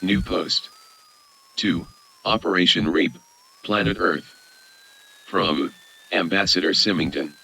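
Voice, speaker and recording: Deep, bright-voiced male narrator. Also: takes it slowly, vocal fry, pauses often. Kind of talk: scripted narration, announcing posts.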